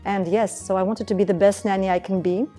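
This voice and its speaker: A woman's voice: mid-range voice, fairly even intonation, quick pace, dark tone, soft background music. A woman, speaking earnestly and reflectively as a business founder in a documentary interview. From Estonia. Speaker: entrepreneur, beauty business founder.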